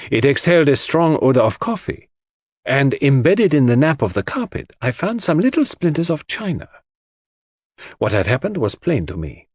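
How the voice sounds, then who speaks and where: A man voicing a shrewd, theatrical detective in an audiobook, speaking deliberately and dramatically with rhetorical questions as he lectures suspects. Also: mid-range voice, darkish tone, breathy edge, no echo, heavily acted. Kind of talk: audiobook character dialogue.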